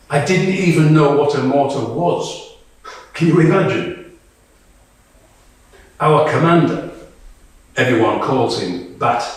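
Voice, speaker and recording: Guy reading as tired blunt female soldier, dry humour, takes it slow, super echoey room. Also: mid-range voice. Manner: wry, conversational, profane. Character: Tonya, weary, blunt female Ukrainian soldier. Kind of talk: dramatic monologue read to a live audience. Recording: very echoey room, distant microphone.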